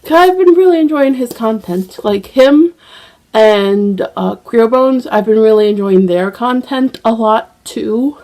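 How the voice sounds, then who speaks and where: A young woman talks at an unhurried, drawn-out pace, rambling through her thoughts with plenty of fillers and restarts. She sounds a little stuffy from a cold and coughs now and then. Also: fairly high pitch, expressive, dark tone. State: slightly congested with a cold, occasional cough. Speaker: book vlogger. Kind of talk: casual chat.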